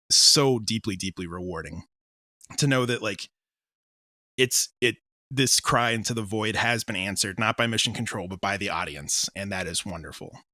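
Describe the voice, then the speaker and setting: Bright, dry-sounding rambling man. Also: lowish voice, dry recording. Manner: rambling, self-interrupting, informal. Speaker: audio drama writer and director being interviewed. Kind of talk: casual interview chat.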